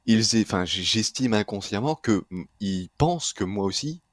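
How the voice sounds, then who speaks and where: A man from France, a guest in a casual discussion, calmly explaining his reasons. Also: fairly low pitch, moderate pace, no echo, clean studio sound.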